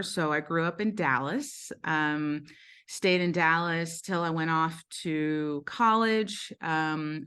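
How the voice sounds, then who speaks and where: Woman, low voice, Brazilian-born guest, candid and searching, many hesitations. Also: fairly bright tone, smooth. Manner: earnest, with frequent ums and self-corrections. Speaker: podcast guest. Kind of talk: candid personal storytelling.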